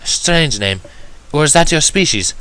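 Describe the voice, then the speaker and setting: Man putting on a higher-pitched, curious bookish woman. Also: animated, moderate pace, sharp tone, clear, resonant tone, low-quality recording. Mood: inquisitive. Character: curious, persistent, bookish female writer, elegant and precise.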